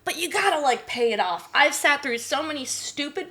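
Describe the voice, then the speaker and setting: Higher-pitched woman in emphatic casual chat. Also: lively intonation, moderate pace, pushed and bright. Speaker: podcast guest, voice actress.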